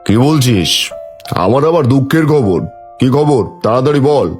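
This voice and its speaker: A boastful, greedy cartoon beast, acted by a man, menacing in manner, with a pitch that swings up and down in a lively way. Faint music plays under the voice. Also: normal speed, lightly acted. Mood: angry blustering. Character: monstrous greedy boastful predator fox, and blustering male tiger. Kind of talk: children's cartoon voice acting.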